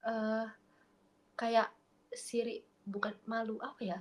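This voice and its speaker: A woman's voice: fairly high pitch, flat delivery, takes it slowly. Chatty young woman with a Makassar accent. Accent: mixed Makassar. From Makassar, Indonesia. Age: young adult. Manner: chatty, storytelling, laughs easily. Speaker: video chat stranger. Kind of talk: casual chat. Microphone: video call.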